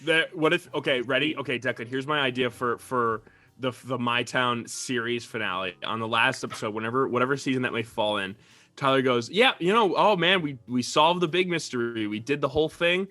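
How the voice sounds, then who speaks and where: A young man co-hosting a podcast, talking casually with friends in a halting, informal way with repeated words. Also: medium pitch, pushed and bright, faint music behind. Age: young adult. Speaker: podcast co-host. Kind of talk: casual chat among friends.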